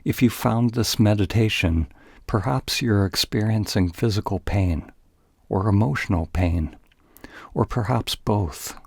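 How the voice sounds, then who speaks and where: A man narrating a guided meditation in a calm, gentle, deliberate way, leaving pauses between phrases. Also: fairly low pitch, gravelly, breathy edge, no room sound, clean studio sound.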